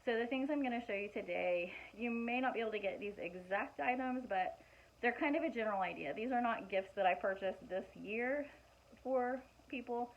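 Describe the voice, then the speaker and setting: Hoarse woman in her forties, gravelly and worn, rambling vlog chat on a muffled, lo-fi recording. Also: mid-range voice. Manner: chatty, rambling, many fillers and restarts. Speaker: vlogger showing gift ideas. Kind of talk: casual show-and-tell vlog.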